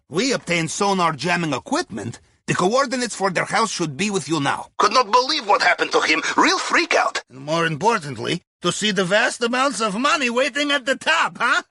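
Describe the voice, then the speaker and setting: An actor playing a wry, upbeat Russian submarine heist planner in a video game, with a raspy voice, a Russian accent and clipped broken English delivered with deadpan humor. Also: male voice, sits high, no room sound, clean studio sound, heavily acted. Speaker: mission guide character in a video game. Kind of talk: scripted video game mission dialogue.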